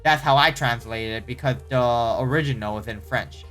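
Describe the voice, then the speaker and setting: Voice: mid-range voice, moderate pace, a bit bright, soft background music. A casual host reading online horror stories aloud, often stumbling and correcting the wording, with startled, exclaiming reactions in between.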